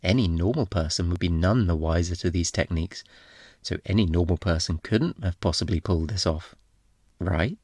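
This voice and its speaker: Casting: male actor as a smug master thief for ASMR roleplay. Low voice, close and dry, flirty, theatrically innocent, always insinuating. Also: moderate pace, a little mellow, dry recording. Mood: smug, menacing.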